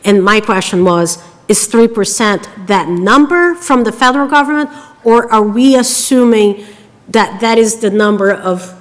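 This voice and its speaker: A woman from Brazil, a Portuguese native speaker with a Brazilian Portuguese accent, pressing questions in a committee meeting, often breaking off and restarting. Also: on the high side. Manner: halting, persistent questioning with many restarts. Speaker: finance committee member. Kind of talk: public meeting discussion. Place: town meeting room. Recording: long reverb of a meeting room.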